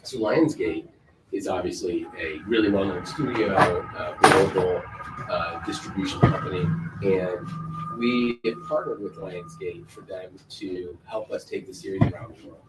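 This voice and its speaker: A man as an executive guest in a casual interview, explaining in a measured, businesslike way with a loud voice. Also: low voice. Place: on a film set, outdoors. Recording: echoey, distant mic with clear background noise.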